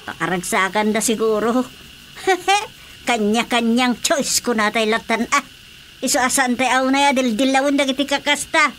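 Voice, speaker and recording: A woman's voice: fairly high pitch, normal speed, a bit bright, a little hiss or hum, lightly acted. An actress with an Ilocano accent playing a chatty, disapproving mother who exclaims freely in a radio comedy drama. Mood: scandalised amusement. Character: gossipy, disapproving mother of a married daughter.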